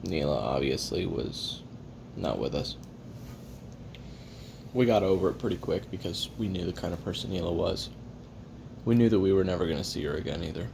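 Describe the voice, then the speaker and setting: A man plays a hard-drinking, jaded male assassin taping his memoirs for an audio roleplay. His voice is low, with a gravelly fry, and he rambles wryly, cursing and putting himself down. Clear hiss and rumble sit under the recording. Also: expressive, moderate pace, long pauses. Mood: subdued, reflective. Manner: profane, wry, rambling, self-deprecating. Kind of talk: confessional audio roleplay monologue.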